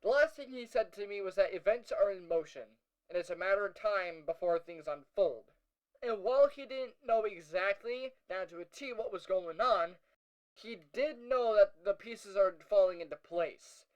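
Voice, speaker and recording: High-voiced, wry young hero played by a man. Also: moderate pace, clear, resonant tone, natural voice, rough recording. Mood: pensive. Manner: hesitant, casual, dryly wry asides. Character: young male hero recounting a meeting with Lucifer, wry, uneasy. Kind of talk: first-person audio log narration, conversational.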